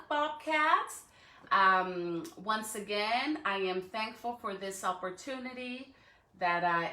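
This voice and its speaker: A woman educator speaks expressively and directly to young children, in read-aloud style. Her voice is bright and her pace unhurried. The recording has a clear room echo. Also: mid-range voice. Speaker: school educator reading aloud to students. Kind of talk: storybook read-aloud and greetings to young students. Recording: echoey room.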